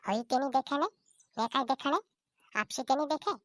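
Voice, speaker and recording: A high, dry-sounding voice of a woman acting as a bickering villager in a cartoon comedy. The character scolds and argues, going over the same phrases again and again. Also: fast, a bit bright, no echo. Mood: scolding.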